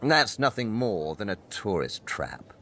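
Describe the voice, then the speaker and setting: A precise, animated pedantic detective. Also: male voice, on the low side, unhurried, full character voice. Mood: assured, dismissive. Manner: precise, didactic, emphatic. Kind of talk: scripted audio drama dialogue.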